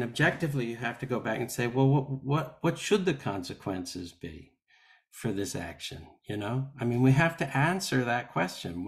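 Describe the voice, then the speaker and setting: A man with a mid-pitched voice talks over a video call in a panel discussion. He is thoughtful and halting, working out his point as he goes. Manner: thoughtful, halting, reasoning aloud. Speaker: actor. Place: indoors at home on a video call. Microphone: video call microphone.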